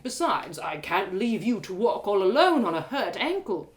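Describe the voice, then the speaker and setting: A woman's voice: mid-range voice, very animated, moderate pace. A woman doing a dry, needling male traveler. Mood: dry, calm. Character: persistent, wry young male traveler. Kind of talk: live reading of an audio drama.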